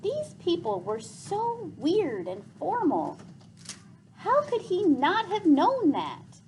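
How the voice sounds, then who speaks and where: A woman reading a story aloud as a teacher, narrating in a deliberate, expressive way. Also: very high-pitched, a little hiss or hum, low-quality recording. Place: classroom, indoors.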